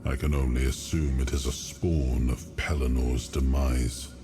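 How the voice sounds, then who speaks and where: A man voicing a grave lord in a fantasy video game, recounting dark legends in a solemn, measured way. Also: a bass voice, little pitch movement, music in the background.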